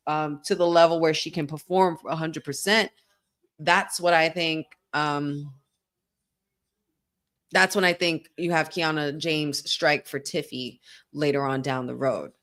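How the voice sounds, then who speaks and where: A woman co-hosting a live wrestling watch-along, chatting casually and laughing often. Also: low voice. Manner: animated, chatty, quick to laugh.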